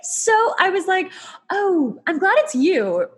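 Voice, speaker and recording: A woman's voice: very high-pitched, sing-song, takes it slowly. A woman in her thirties hosting a casual podcast chat, giggly and effusive in the way she talks. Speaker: podcast host.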